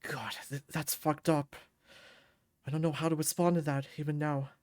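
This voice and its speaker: Woman as a low, subdued brooding young man. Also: flat delivery, moderate pace, a little mellow, dry recording, studio quality, lightly acted. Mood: bitter, wistful. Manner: subdued, introspective, wry. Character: brooding young male fighter, guilt-ridden, bitterly wry.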